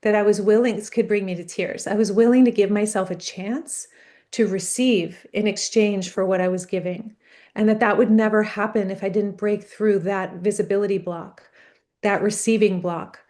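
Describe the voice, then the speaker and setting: A woman's voice: mid-range voice, fairly even intonation, moderate pace, darkish tone. A woman coach hosting a live online workshop on a video call, speaking earnestly and encouraging her guest.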